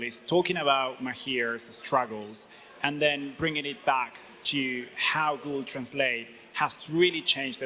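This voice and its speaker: A man's voice: medium pitch, pushed and bright. A man giving a marketing talk at a conference, explaining his slides. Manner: explanatory, walks through slides. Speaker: conference speaker. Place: conference stage. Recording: echoey conference hall.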